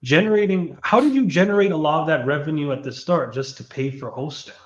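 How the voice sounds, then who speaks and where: A man interviewing his guest with casual, halting questions, searching for words as he goes. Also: on the high side, reverberant, dull audio.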